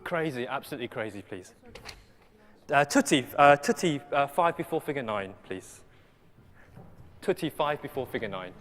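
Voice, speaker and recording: A man's voice: medium pitch, lively intonation, normal speed, pauses often, a bit bright. Male conductor leading a rehearsal, sings lines to the players, long hall reverb. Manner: sings phrases to demonstrate. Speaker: student conductor. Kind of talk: rehearsing an orchestra. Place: concert hall.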